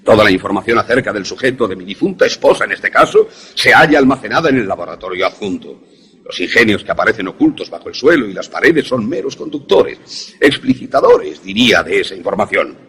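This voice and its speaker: A man playing an erudite, self-assured scientist in a suspense radio drama, explaining things formally and with deliberate pauses. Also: fairly low pitch, lively intonation, fairly bright tone, slightly rough, heavily acted. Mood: proud, lecturing. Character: erudite, self-assured male scientist who creates ghost images.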